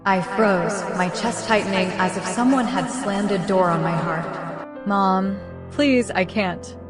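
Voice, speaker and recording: A woman playing a frightened but determined pregnant teenage girl in a dramatic roleplay story, halting and emotional. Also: mid-range voice, lightly acted. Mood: despairing. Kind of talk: dramatic first-person roleplay story acting and narration. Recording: echoey, long reverb, music underneath.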